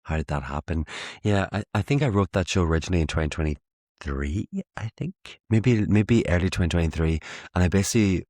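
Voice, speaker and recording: A man's voice: deep voice, brisk, darkish tone, dry recording, clean studio sound. A man in his late twenties with an Irish accent, chatting casually as an interview guest in a wry, self-deprecating way. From Ireland. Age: late 20s.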